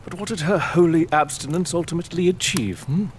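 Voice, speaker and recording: An actor playing an arrogant, sardonic aristocratic lord in an audio drama, with a British accent and a pompous, theatrical manner. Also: male voice, fairly high pitch, fairly quick, slight background noise, close to an everyday voice. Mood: cynical, lecturing.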